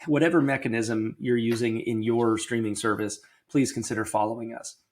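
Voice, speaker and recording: A middle-aged man with a slightly crackly voice hosting a casual personal health podcast, talking candidly and explaining in a measured way. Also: mid-range voice, steady tone, darkish tone. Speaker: podcast host.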